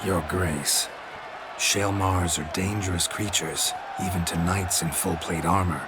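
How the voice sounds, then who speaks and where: An actor playing a gruff, world-weary monster hunter, terse and deadpan with a gravelly voice. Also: male voice, sits low, measured pace, heavy background noise. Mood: dry, restrained. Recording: loud music under the voice.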